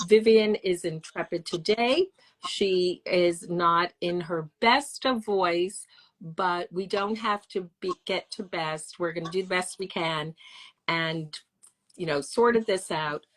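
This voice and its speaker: A woman hosting a podcast interview, introducing her guest in a measured way and stacking words into lists. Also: lowish voice, low-quality recording. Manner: measured, repeats words while listing. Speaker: host.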